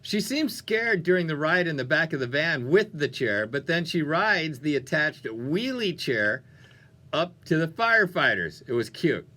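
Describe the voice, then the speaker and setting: A male radio host with a bright, forward voice pitched a little high for a man. He delivers upbeat music-station patter in a playful, animated and conversational way. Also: a little slow. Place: radio studio.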